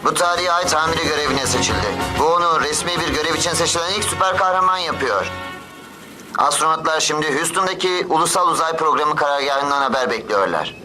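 A man's voice: on the high side, quick pace, sharp tone, faint music behind, poor audio. A man voicing an earnest, urgent television news reporter in a cartoon dub, formal and dramatic. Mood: urgent reporting. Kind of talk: news commentary in animated series dub.

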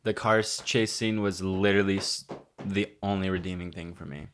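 A man's voice: low-pitched, steady tone, normal speed, fairly bright tone, slight background noise. A young man co-hosting a podcast in a small room, joking around with short replies and swearing, into a desk microphone. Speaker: podcast co-host. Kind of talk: casual chat. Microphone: studio mic on desk stand.

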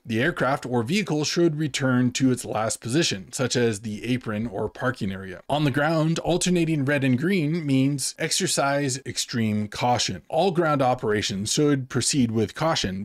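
An American man in his forties presents a lesson with a measured, methodical delivery. He sounds like an instructor talking to camera, recorded close at a desk microphone with very little room sound. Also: mid-range voice, expressive, no room sound. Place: small dim home office studio. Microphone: desk microphone close in front.

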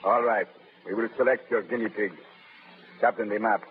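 A man's voice: fairly low pitch, steady tone, moderate pace, slight background noise, lightly acted. An actor playing a cautious male military dictator with a clipped, authoritative manner, from a tinny 1930s radio broadcast. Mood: stern.

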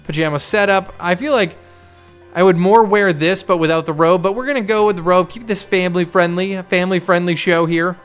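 A young man with a higher voice chatting casually and thinking aloud as he hosts a game playthrough. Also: a little fast. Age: young adult. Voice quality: higher-pitched, clear smooth voice. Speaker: gaming video host. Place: small home room, indoors. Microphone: desk microphone close to mouth. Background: game music.

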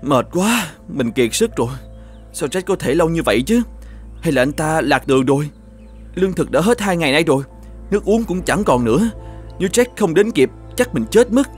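Man voicing a stubborn, desperate cartoon treasure guard, higher-pitched. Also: animated, moderate pace, soft background music, lightly acted. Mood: exhausted, despairing. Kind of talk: animated folktale dialogue.

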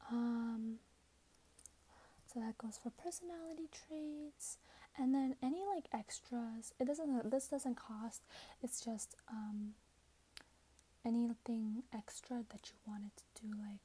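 A woman in her twenties speaking in a hushed, breathy whisper, gently role-playing a customer service clerk for ASMR. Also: fairly high pitch, fairly even intonation, takes it slowly. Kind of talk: soft-spoken ASMR role-play. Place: small plain indoor room.

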